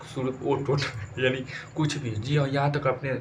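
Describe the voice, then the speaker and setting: A man's voice: mid-range voice, flat delivery, moderate pace, noisy background. A man with a Bhojpuri accent presenting informal gossip news commentary in an emphatic, repetitive, scolding colloquial way.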